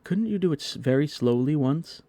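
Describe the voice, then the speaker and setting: A man voicing an eager, bossy young boy in a storybook, excitable and full of exclamations. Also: on the high side, normal speed, no room sound, voice pushed up. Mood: eager, curious.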